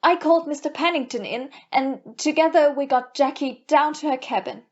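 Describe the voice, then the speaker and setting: Audio-play young lady, actress: very high pitch, edgy, defensive, faltering, muffled recording. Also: moderate pace. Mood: composed. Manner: hesitant, faltering under questioning. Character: nervous, defensive young lady hiding a secret.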